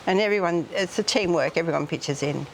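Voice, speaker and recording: A woman's voice: lowish voice, brisk, vocal fry, background noise. A middle-aged Australian woman, co-owner of a guesthouse, chatting casually and rambling a little with plenty of ums. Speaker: hotel co-owner. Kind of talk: casual interview chat.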